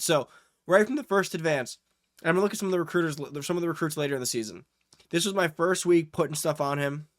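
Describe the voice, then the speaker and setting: Congested man, fairly high voice, gaming channel host, energetic and salesy, faint music behind. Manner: energetic, salesy, repeats "guys" and "right". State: congested from a cold. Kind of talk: video game strategy walkthrough.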